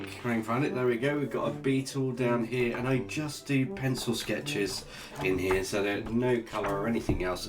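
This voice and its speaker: A man hosting a casual drawing vlog, chatty and jokey as he sketches and talks. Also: medium pitch. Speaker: art channel host. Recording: guitar music playing underneath. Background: guitar music.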